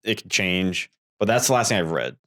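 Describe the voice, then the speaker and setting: Young male co-host with a lowish voice, dry humor, relaxed conversational style. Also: brisk. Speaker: podcast co-host. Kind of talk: casual pop-culture chat.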